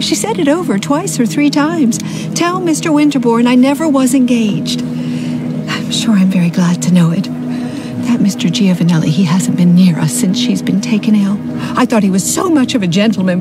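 A woman's voice: fairly high pitch, natural voice. An actress playing a genteel, middle-aged mother, flustered and rambling, in a radio drama.

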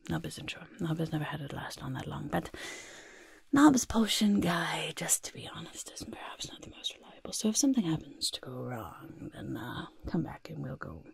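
A woman voicing a fussy, kindly goblin disguise master in a whispery, breathy, coaxing ASMR roleplay, close to the microphone. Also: low-pitched, big pitch swings, moderate pace. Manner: coaxing, gentle, hesitant asides, repeated words. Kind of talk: soft-spoken ASMR roleplay.

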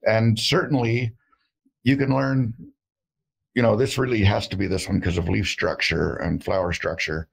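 A man's voice: on the low side, steady tone, moderate pace, vocal fry, slightly rough, poor audio. A middle-aged man, an herbalist guest on a video call, talking in a folksy, joking, rambling way with many fillers. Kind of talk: casual interview talk. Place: indoor room with shelves of jars.

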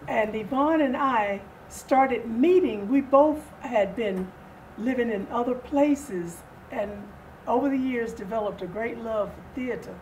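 An older, retired woman telling stories as an interview guest, speaking in deliberate, halting phrases. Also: a little high, a little mellow, dull audio. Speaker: theater group co-founder, interview guest. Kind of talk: interview storytelling. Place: small furnished indoor sitting-room set. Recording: distant mic, noisy room ambience.

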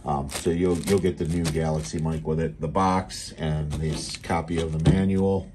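Casting: American male product demonstrator, low and relaxed, halting and matter-of-fact, unscripted, slightly noisy handheld recording. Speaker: seller demonstrating a restored CB radio. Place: indoors at a radio bench. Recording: noisy room, handheld camera mic.

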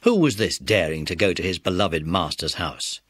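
Low-voiced male narrator, lively intonation. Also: moderate pace, dry recording. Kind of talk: scripted audiobook narration for children.

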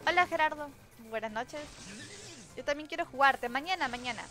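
Chatty young woman streamer, slightly high. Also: moderate pace, bright, forceful tone. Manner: chatty, thanks viewers, reads chat aloud, giggles often. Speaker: game streamer. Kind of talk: casual live-stream chat. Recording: clear game music underneath. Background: game music.

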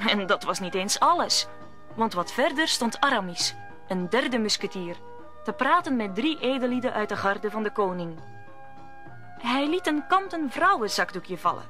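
A woman narrates an adventure radio play as a storyteller. Her voice is fairly high and bright, with faint music at times. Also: very animated, moderate pace. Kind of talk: scripted storytelling narration for audio drama.

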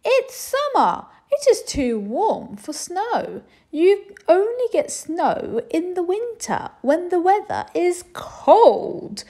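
A woman's voice: very high voice, huge pitch swings, slow, few pauses, warm tone, voice pushed up, full character voice. A woman voicing an exasperated storybook king who wails as he explains things to his child. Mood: wailing, exasperated. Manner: wailing, explanatory.

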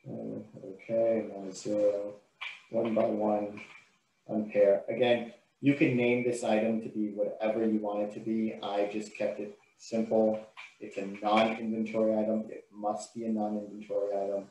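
A man giving an informal, step-by-step software tutorial as a trainer, explaining what he does on screen. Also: fairly low pitch, little pitch movement, moderate pace, slight background noise. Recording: roomy, echoing sound.

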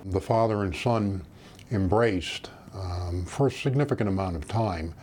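A man in his sixties, a retired federal agent, recalling events in a formal, measured interview manner. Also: low voice, darkish tone, slight background noise. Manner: formal, measured, official phrasing. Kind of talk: interview recollection. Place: small private office, indoors.

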